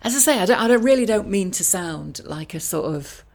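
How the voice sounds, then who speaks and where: A woman talking casually in a rambling, self-deprecating monologue, with wry, gently ironic asides. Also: medium pitch, expressive, brisk, vocal fry. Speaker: voiceover artist and career coach sharing a personal update.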